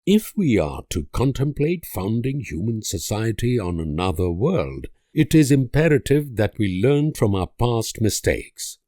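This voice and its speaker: An actor playing a grave, idealistic and world-weary political leader in a strategy game, speaking in measured, solemn oratory with dramatic pauses. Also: male voice, fairly low pitch, very animated, warm tone, dry recording, clean studio sound. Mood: earnest, solemn.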